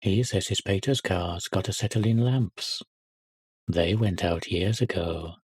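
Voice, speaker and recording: A man's voice: measured pace, slightly rough, no room sound. A man putting on sneering snobbish schoolboys. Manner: quieter and a bit higher than the narration. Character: snobbish sneering English prep-school boys.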